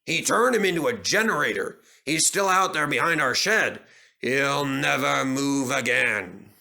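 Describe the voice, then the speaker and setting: A man's voice: mid-range voice, expressive, unhurried, gravelly, sharp tone, full character voice. A man voicing a proud, kindly old steam engine who scolds in a stern, old-fashioned way, in a storybook reading. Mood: grave storytelling.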